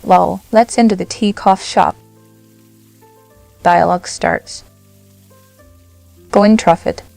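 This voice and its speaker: A woman narrating a software tutorial step by step, in short, simple instructional phrases. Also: medium pitch, fairly even intonation, takes it slowly, creaky, dry recording, soft background music.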